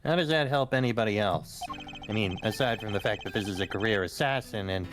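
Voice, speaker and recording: A man's voice: fairly low pitch, brisk, close to an everyday voice. A man voicing a young man confronting his father's killer, in a casual, jokey way with crude ad-libbed gags, in a comedic fan dub of a video game. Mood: earnest. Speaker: fan dubber. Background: game music.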